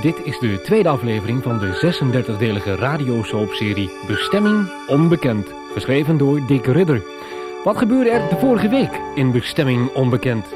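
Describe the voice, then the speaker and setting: Male narrator for soap recaps: scripted, very varied pitch, over prominent music. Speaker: announcer. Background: loud music.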